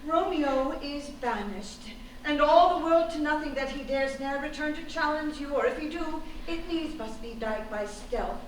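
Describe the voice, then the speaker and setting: A woman's voice: high voice, moderate pace, few pauses, close to an everyday voice. A woman playing an outspoken old nurse. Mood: resigned. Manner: blunt, pleading protests. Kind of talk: Shakespearean stage acting. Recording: echoey stage from far off, faint noise.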